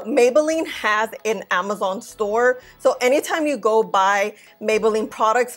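A woman in her thirties, a beauty vlogger, giving a loud, excitable, chatty makeup review straight to camera at home. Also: on the high side. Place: indoor filming set with plain backdrop.

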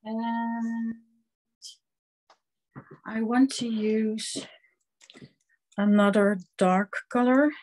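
A Dutch woman with a Dutch accent teaching an online craft class, talking her way through a hands-on demonstration with many pauses and ums. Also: a little high, steady tone, a little mellow, poor audio. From Netherlands. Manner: slow, halting, many ums, hands-on explaining. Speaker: craft instructor.

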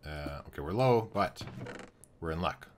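Young male streamer, very low voice turning hoarse, casual think-aloud commentary at a desk mic, light keyboard noise. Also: lively intonation, moderate pace. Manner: thinking aloud while building, greeting chat viewers. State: losing his voice. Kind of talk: live gameplay commentary.

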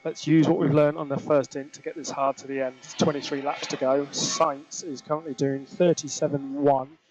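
A man working as a race engineer, giving measured, clipped, often repeated instructions over team radio. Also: on the high side, flat delivery, muffled recording, music in the background. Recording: noisy team radio link with clicks.

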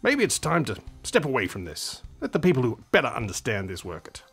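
A man playing a gruff, gravelly veteran politician. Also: mid-range voice, wildly swooping pitch, a little fast, fairly bright tone, faint music behind, exaggerated voice. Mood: rueful. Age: 50s. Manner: gruff, blustering, swears often. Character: weary veteran male politician, blunt and swearing. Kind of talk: radio comedy acting.

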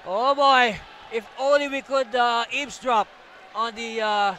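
A man calling a live basketball game from courtside, energetic and shouting on the big plays. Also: extremely high pitch, a little slow, a faint crowd. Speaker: play-by-play commentator. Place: courtside booth in a packed arena. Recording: noisy arena broadcast.

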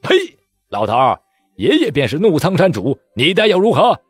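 A man voicing an arrogant army officer who jeers and shouts in a husky voice. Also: on the high side, sing-song, moderate pace, no echo, voice pushed up. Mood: jeering. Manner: jeering, loud and shouting.

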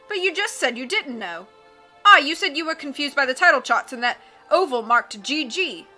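A woman reading aloud and voicing a blustering male sea captain who booms and keeps saying "aye". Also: lively intonation, moderate pace, pushed and bright, soft background music. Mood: boisterous. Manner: bellowing, peppers speech with "aye".

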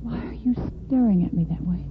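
Actress as a guarded, tender woman, low-fi radio. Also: brisk, lightly acted. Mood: flustered confession. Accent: American. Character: reserved single woman neighbor, tender-hearted, guarded. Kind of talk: old-time radio soap opera acting. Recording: muffled vintage radio broadcast with music.

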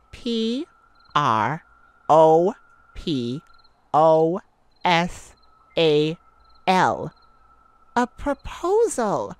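Woman acting slow-paced, excitable, affectionate mage sweetheart. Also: fairly low pitch, dry recording, full character voice. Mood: exasperated. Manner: gushing, giggly, rambling, flustered. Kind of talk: romantic ASMR roleplay audio drama.

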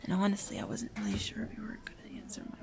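A woman's voice: on the low side, steady tone, takes it slowly. A woman playing a shy, nervous teenage hero-in-training in a romantic audio roleplay, speaking in a hesitant, apologetic, rambling way. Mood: anxious rambling. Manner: hesitant, stammering, apologetic, prone to anxious rambling.

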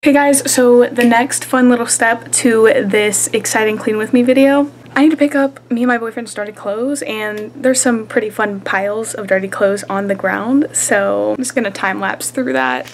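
A woman's voice: a little high, normal speed, hardly any pauses, a little hiss or hum. A young woman chatting to her viewers in a casual vlog, giggly and talkative, recorded on a handheld camera in a small bedroom. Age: young adult. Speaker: vlogger.

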